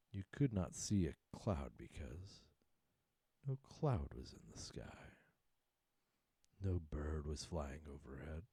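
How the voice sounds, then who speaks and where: Very deep, gravelly man reciting poetry. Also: warm tone, no room sound. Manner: theatrical, deliberate. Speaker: poetry reader.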